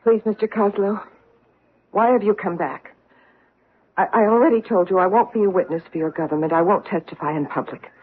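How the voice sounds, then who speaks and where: An actress playing a guarded middle-aged wife hiding a painful past, anxious, evasive and pleading in a radio drama. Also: female voice, mid-range voice, a little fast, slightly rough, natural voice. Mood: guarded, firm. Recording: tinny, band-limited old radio recording.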